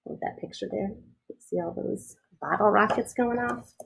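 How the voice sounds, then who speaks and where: A woman reading a children's picture book aloud expressively, like a teacher who pauses to explain things and put questions to young listeners. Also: mid-range voice, creaky, a bit bright, slightly rough.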